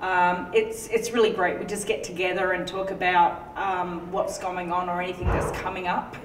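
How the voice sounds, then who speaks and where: A woman in her twenties with an Australian accent, speaking hesitantly with frequent ums at a middle pitch. She sits away from the microphone in an echoey room with faint background noise. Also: flat delivery, normal speed. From northern Tasmania, Australia. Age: 20s. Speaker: advocacy group president. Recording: distant mic, echoey room.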